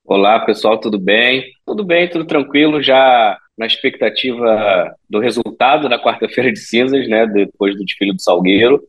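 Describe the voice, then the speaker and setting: A man talking in a relaxed, friendly way as an interview guest. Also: medium pitch, normal speed, hardly any pauses, slightly rough, rough recording. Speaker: interview guest, carnival theme writer. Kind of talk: casual interview talk.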